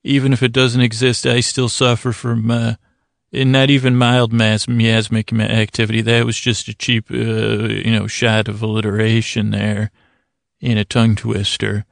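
Super creaky guy doing a sleep podcast, really dry close sound, keeps repeating himself and wandering off, kinda self-deprecating. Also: on the low side, completely flat delivery, dry recording. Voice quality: gravelly, creaky. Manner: meandering, halting, often repeating words and trailing into tangents; gently silly and self-deprecating. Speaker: podcast host. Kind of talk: rambling bedtime monologue to help listeners sleep.

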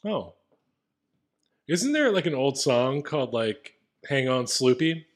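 Deep-voiced laid-back male podcaster. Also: big pitch swings, takes it slowly. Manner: laid-back, reads listener reviews. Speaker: podcast co-host. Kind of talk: casual comedic chat.

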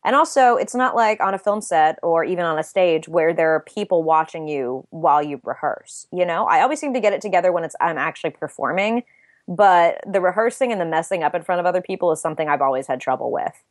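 A young adult woman guest talking thoughtfully in an interview, with a soft, soothing voice and frequent restarts. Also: fairly low pitch, expressive, quick pace, dry recording. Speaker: interview guest, writer and voice actor. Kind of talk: casual interview chat.

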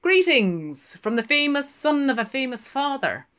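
Woman putting on a flamboyant, boastful man, swooping pitch. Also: very animated, unhurried, fairly bright tone, heavily acted. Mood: showy, boastful. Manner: theatrical, florid, grandiloquent. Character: flamboyant, wheedling male barfly, florid and boastful.

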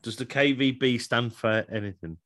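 A man co-hosting a casual music podcast, keeping things moving and passing the turn to the others. His voice sits mid-pitched and the sound is dry and close, with no room echo. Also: moderate pace, a bit bright. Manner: chatty, prompts others, laughs often. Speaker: podcast co-host. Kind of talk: casual chat.

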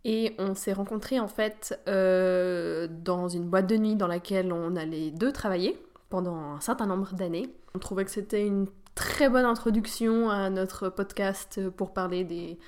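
Hesitant woman, soft trailing voice. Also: medium pitch, unhurried. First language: French. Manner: hesitant, many fillers, soft trailing endings. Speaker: podcast co-host. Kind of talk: casual podcast chat.

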